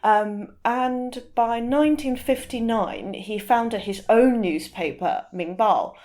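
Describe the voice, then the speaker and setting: A woman speaking as an interview guest, explaining things informally and sometimes pausing to search for the right word. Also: a little high, a little mellow.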